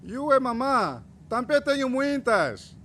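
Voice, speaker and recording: A man's voice: very high-pitched, sing-song, takes it slowly, a bit bright, slight background noise, heavily acted. A man playing an eager, idealistic trainee teacher in his twenties in a radio drama, with a Mozambican accent and an affectionate, playful manner. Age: 20s.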